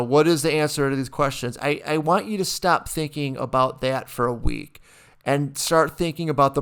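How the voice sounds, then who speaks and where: A man's voice: fairly high pitch, smooth. A man, a college math instructor, giving a conversational recorded lecture over a screen share, asking rhetorical questions and restarting his sentences often.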